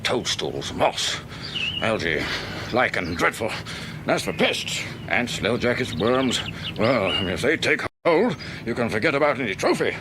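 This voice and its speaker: Radio drama casting: fussy retired Englishman, quick to bluster, very lively intonation, noisy ambient recording. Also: medium pitch. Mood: proud, fussy. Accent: British English. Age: older. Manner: blustering, exclamatory, grumbling. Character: fussy, irascible retired husband obsessed with his lawn. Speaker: actor.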